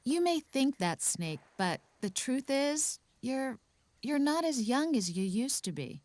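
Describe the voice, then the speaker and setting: A woman playing a caring but firm counselor in a video game, explaining things with gentle insistence. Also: high voice, big pitch swings, slow, no echo, full character voice. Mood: concerned, insistent.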